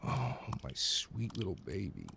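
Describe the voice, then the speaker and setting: A man speaking an intimate roleplay monologue in slow, husky half-whispers, with soft sighs and moans between phrases. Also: fairly low pitch, wildly swooping pitch, a little hiss or hum. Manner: murmuring, sighing and moaning softly. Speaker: solo audio roleplay performer.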